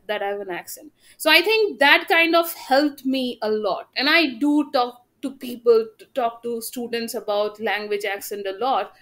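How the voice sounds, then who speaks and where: A woman's voice: high-pitched, a bit bright. A woman from India, a native Bengali speaker with a Bengali Indian accent, talking casually and sharing personal experience as a guest. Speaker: podcast guest, economics teacher. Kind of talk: casual conversation, personal storytelling.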